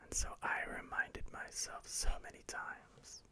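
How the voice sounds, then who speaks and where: Man whispering a slow guided meditation. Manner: slow, soft, repetitive reassurance.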